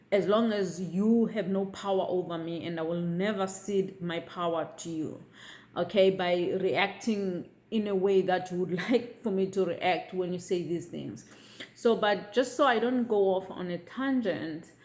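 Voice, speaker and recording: A middle-aged woman from Africa, giving her views in a casual vlog. She talks in a measured way and often checks in with viewers by asking "okay?". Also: mid-range voice, darkish tone. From South Africa. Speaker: vlogger.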